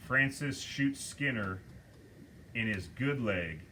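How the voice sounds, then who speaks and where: Slow, bright-voiced man reading a script. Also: medium pitch, expressive, slight background noise. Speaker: narrator and director of a table reading. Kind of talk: reading stage directions aloud. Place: film studio.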